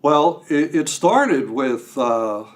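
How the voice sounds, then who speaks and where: An older man chatting as an interview guest, talking in a halting way with self-deprecating humor. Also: medium pitch, very animated. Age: 60s or older. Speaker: guest writer. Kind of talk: casual interview. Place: radio studio.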